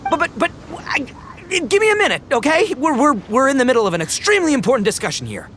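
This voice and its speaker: A brash, loud-mouthed gang boss, wildly animated. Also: male voice, very high-pitched, quick pace, slight background noise, exaggerated voice. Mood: flustered. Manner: slangy, bragging, theatrical, stammers when flustered. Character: brash, boisterous oni gang boss, overconfident and goofy. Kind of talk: animated video game voice acting.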